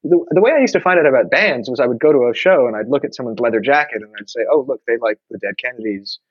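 A man's voice: mid-range voice, animated, quick pace, slightly rough, dry recording, muffled recording. A man, talking thoughtfully in a casual conversation with many restarts. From New York. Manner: reflective, many restarts and fillers.

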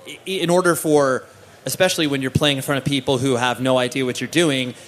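A man's voice: mid-range voice, fairly even intonation, brisk. A man hosting an interview, asking questions in a meandering way with many "uh"s and restarts. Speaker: podcast host. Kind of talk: casual interview chat.

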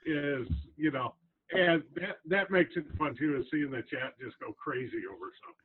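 A man's voice: on the high side, moderate pace. A man chatting casually as a co-host, over a thin phone-like connection. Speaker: co-host, sidekick. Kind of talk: casual chat. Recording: thin, phone-like call audio.

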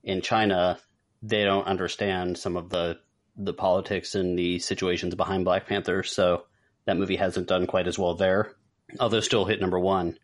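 Male podcast host, deep voice, casual moderator who explains and recaps in a measured manner. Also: little pitch movement. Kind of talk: casual talk show moderating.

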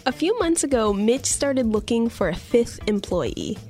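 A woman co-hosts a podcast, reading scripted narration in an even, conversational way. Faint music plays underneath her voice. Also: on the high side, a little slow. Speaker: podcast co-host.

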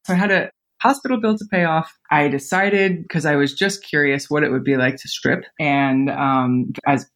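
A woman's voice: sits low, normal speed, hardly any pauses, a bit bright. A woman in her thirties, a podcast guest telling personal stories casually, with frequent fillers and laughter. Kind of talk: casual storytelling interview.

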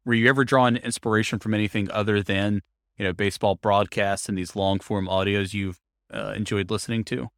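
A man's voice: fairly low pitch, steady tone, a little fast, a bit bright, dry recording, clean studio sound. A man hosting an interview podcast, speaking in a thoughtful, explanatory conversational way. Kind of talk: conversational interview with narrated segments.

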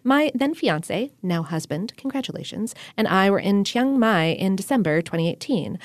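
A woman co-hosting a podcast in easy, casual chat with a wry, understated humor. She reads listener mail aloud at a middle pitch, and the studio sound is dry and close. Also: animated, normal speed, no room sound. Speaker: podcast co-host.

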